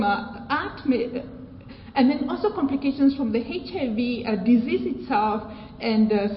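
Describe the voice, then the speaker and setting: Kenyan-born woman with British accent, echoey hall. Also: high voice. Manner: measured, with frequent fillers and restarts. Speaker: research presenter. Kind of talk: academic conference talk. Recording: echoey hall, dull sound, faint noise.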